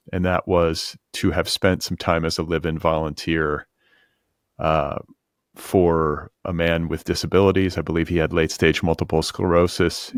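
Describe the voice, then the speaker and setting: An American man hosting an interview, speaking casually in a measured, reflective way with tentative phrasing. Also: low voice, no echo, studio quality. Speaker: podcast host, interviewer.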